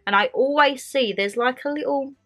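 A woman's voice: sits high, normal speed, fairly bright tone. A young woman chatting casually to camera from her bedroom, bubbly and gesturing as she talks, still getting over a cold. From England. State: lingering cold. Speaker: vlogger. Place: bedroom, indoors.

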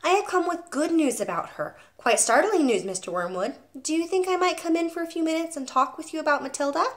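A courteous young schoolteacher, prim and precise, her voice lifting high and swooping as a woman reads her part aloud. She stays polite yet grows firm and pointed. Storybook read-aloud. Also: expressive, fairly quick. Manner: precise, courteous, turning sharp and insistent.

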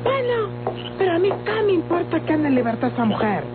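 An actress playing an agitated, secretive country daughter in an old radio melodrama, with rustic speech and a Mexican accent. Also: female voice, very high voice, very animated, a little fast. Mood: defiant, agitated. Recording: tinny old radio recording, loud music.

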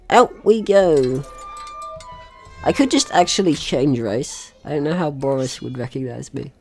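A man hosting a casual let's-play, talking playfully to the game's characters and swearing now and then. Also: medium pitch. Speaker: gaming host.

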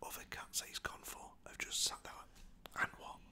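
A man from Lancaster, softly whispering rambling stories about pets as a dog walker. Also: normal speed. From Lancaster, England. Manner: soft whispering, rambling and chatty. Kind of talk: whispered ASMR storytelling.

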